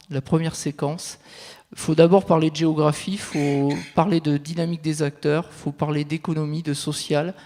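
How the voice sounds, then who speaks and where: A man's voice: on the high side, darkish tone. A man giving an explanatory conference presentation, laying out his points step by step. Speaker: territorial consultant presenting at a conference. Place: large reverberant conference venue. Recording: echoey hall with long reverb.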